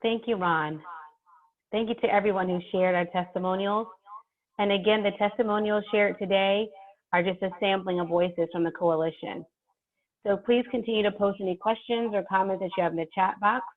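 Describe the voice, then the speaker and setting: A woman's voice: mid-range voice, steady tone, moderate pace. Woman moderator on a tinny line. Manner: polite, reads from a script. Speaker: event moderator. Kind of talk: scripted introductions. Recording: tinny phone-like audio.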